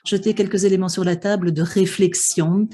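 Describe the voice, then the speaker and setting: A woman's voice: mid-range voice, fairly even intonation, moderate pace, mellow. A woman interpreting a conference panel live, with many hesitations and restarts. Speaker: simultaneous interpreter for an online panel.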